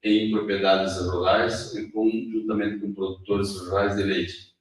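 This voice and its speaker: Low-voiced male interpreter in an echoey hall. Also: level tone, measured pace, distant mic. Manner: rephrases as he goes, with fillers and restarts. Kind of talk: consecutive interpreting.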